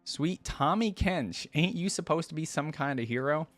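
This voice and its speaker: A man's voice: a little high, lively intonation, moderate pace, fairly bright tone, no room sound, faint music behind, voice pushed up. Casting: a man reading as a wisecracking, folksy male outlaw gunslinger for story dialogue, grumbly and sardonic. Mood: indignant.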